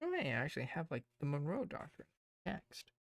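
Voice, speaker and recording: A man streaming casually, rambling and thinking aloud as he plans, his voice tired and croaky. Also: fairly high pitch, sing-song, brisk, no room sound, clean studio sound. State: hoarse, tired voice. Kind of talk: casual livestream chat.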